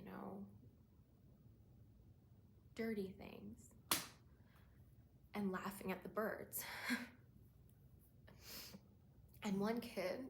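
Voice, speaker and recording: A woman performs a haunted young woman in a dramatic stage monologue. Her telling is slow and halting, with a bright, tense edge to the voice. The room is echoey, with faint noise behind her. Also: mid-range voice. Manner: halting, hushed and breathy, swelling into anguished cries. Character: Rose, shaken young woman haunted by witnessed cruelty. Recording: roomy echo, faint background noise.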